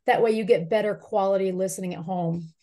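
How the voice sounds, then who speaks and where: A woman's voice: mid-range voice, steady tone, a little fast, dark tone. A woman giving casual instructions as co-host of a video call session.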